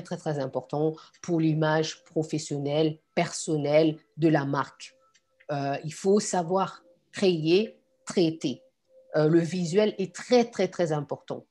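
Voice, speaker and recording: A woman's voice: sits low, dark tone. A woman from Congo and France giving an informal online lesson as a coach, speaking directly and encouragingly to her students. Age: adult. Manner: encouraging, addresses the learner directly. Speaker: online course instructor and coach.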